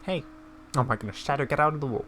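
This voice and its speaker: A woman's voice: rumbling low, fast talker, fairly bright tone, faint music behind. A woman doing chatty let's-play commentary, exclaiming and talking to the characters on screen. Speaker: gaming video creator. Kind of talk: let's-play commentary, reading game dialogue aloud. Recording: clear background noise with game ambience.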